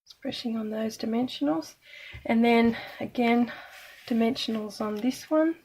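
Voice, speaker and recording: Chatty woman with a croaky voice. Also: fairly high pitch, a little slow, a little mellow, poor audio. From Australia. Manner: chatty, self-deprecating, talking while working. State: slightly croaky voice. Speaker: craft demonstrator. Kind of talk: casual card-making tutorial.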